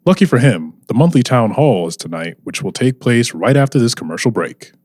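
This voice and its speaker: A man as animated serial-drama narrator, dry sound. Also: medium pitch, fairly quick, no room sound. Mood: suspenseful. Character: dramatic male serial narrator building suspense.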